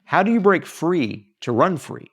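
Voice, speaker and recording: A man reads his own writing aloud like an audiobook author. His pitch moves widely from phrase to phrase, and his delivery is earnest and emphatic, with something of the preacher in it. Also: big pitch swings, measured pace, fairly bright tone, husky. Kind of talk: expressive audiobook-style reading.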